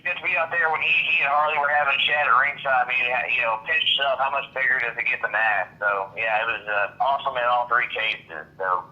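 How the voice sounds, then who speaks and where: A man's voice: medium pitch, steady tone, brisk, few pauses, pushed and bright, muffled recording. A man in his thirties, a wrestling ring announcer from Missouri, giving rambling, earnest interview answers full of restarts. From Missouri, USA. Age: 30s.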